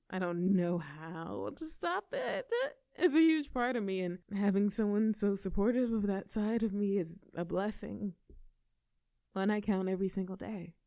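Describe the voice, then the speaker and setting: A woman's voice: medium pitch, dark tone, no room sound, clean studio sound, close to an everyday voice. A woman voicing a tough, brash young girlfriend in a scripted audio roleplay. She talks casually and cockily, swears freely and laughs between lines. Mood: tender.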